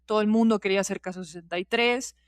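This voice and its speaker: A woman's voice: mid-range voice, fast talker, no echo, studio quality. A woman explaining things in casual, digressive conversation and often checking in with her listener. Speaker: podcast guest, producer and teacher.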